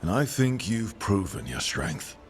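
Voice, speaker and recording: An actor playing a supportive, wry male hero in a fantasy video game, calm and lightly teasing. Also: lowish voice, measured pace. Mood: reassuring. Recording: loud music behind the voice.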